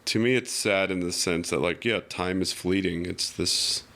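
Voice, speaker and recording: A man talking reflectively and casually as a podcast guest, in a halting, musing way with many fillers. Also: sits low, gravelly, a bit bright.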